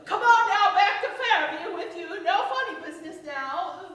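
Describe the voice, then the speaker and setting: A woman's voice: extremely high pitch, animated, moderate pace, a bit bright. A woman playing a firm, scheming female accomplice in a staged radio play, speaking with insistent command. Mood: calm, authoritative. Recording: very echoey, distant stage mic.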